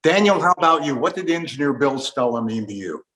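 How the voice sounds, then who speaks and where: A man's voice: mid-range voice, animated, a little fast, a bit bright. A man hosting a reflective interview, asking guests for their memories. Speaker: interview host. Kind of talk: radio tribute interview.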